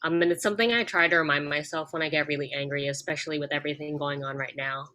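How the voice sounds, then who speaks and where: A woman's voice: sits low, fairly even intonation, fast talker, bright, forceful tone. A young adult woman from the United States, an actor on a discussion panel, speaking thoughtfully and earnestly with frequent fillers.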